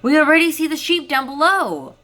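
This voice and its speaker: A woman's voice: sits high, big pitch swings, brisk, bright, forceful tone, pitched above the performer's own voice, exaggerated voice. A woman voicing a crafty, poor little peasant man in an emphatic, theatrical storybook manner. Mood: slyly persuasive.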